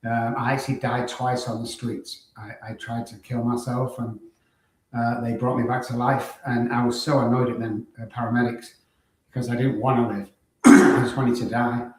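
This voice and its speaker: A middle-aged man with a Manchester accent, a guest on a live online talk show, speaking briskly in lists with frequent fillers. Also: medium pitch, level tone, slight background noise. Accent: Manchester English. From Manchester, England. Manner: brisk, listing, frequent uh fillers and throat clearing. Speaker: guest, addiction doctor. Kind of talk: casual live-stream interview. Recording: very echoey room.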